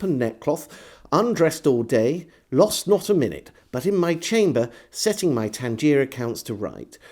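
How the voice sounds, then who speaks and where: A man's voice: fairly high pitch, mellow. A man reading an old diary aloud as a storyteller, with theatrical, varied phrasing. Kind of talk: expressive reading of a 17th-century diary.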